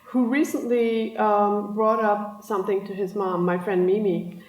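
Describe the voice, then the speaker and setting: A woman giving a panel presentation with slides, speaking haltingly with many pauses and ums. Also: medium pitch, level tone. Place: large hall. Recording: echoey hall from far off.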